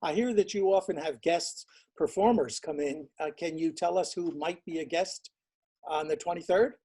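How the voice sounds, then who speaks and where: Male host, kinda breathy and mellow, asks short questions, lots of little yeahs. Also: a little high, moderate pace. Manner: brief prompts and short affirmations. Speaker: interviewer host. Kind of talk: casual interview questions.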